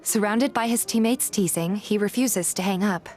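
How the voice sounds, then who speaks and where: A woman's voice: mid-range voice, moderate pace, a little mellow. Woman narrating a story over music. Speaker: narrator and voice actor of a fan dub. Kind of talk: scripted first-person story narration and reading.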